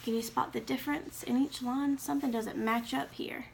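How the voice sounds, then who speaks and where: A woman's voice: sits high, fairly even intonation, normal speed, vocal fry, slight background noise. A woman reading a children's picture book aloud expressively, with questioning asides to young listeners. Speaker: read-aloud reader.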